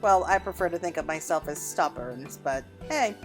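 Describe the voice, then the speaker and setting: A woman's voice: low voice, expressive, moderate pace, faint music behind. A woman giving casual gameplay commentary as the host of a gaming video.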